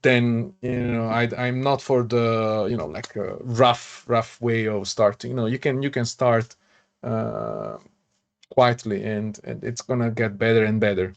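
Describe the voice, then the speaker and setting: Male host, mid pitch, halting casual delivery. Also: gravelly. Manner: halting, many restarts and fillers, laughs often. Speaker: podcast host. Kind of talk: casual panel chat.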